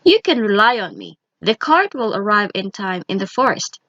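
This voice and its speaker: A woman's voice: mid-range voice, sing-song, normal speed, a bit bright, dry recording, highs cut off. A woman voicing a tiny, clever, cheeky and self-assured boy in a children's storybook reading. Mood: eager. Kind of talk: children's storybook read-aloud.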